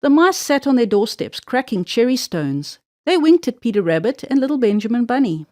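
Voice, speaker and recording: A woman's voice: on the high side, big pitch swings, normal speed, a little mellow, no echo, clean studio sound. A woman narrating a children's storybook expressively, drawing out words for emphasis.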